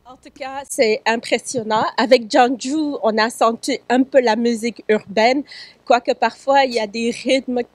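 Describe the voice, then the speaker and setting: A woman's voice: fairly high pitch, fairly even intonation, measured pace, no echo. A woman hosting a studio interview, speaking in polished, formal phrasing with an occasional filler. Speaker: host.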